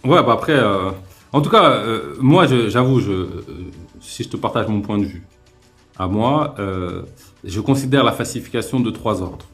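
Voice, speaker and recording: Hesitant low-voiced man reasoning aloud. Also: expressive, normal speed, fairly bright tone, faint music behind. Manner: hesitant, many fillers and restarts, reasoning step by step. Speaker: host. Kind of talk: calm religious debate on a live stream. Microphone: remote live-stream call.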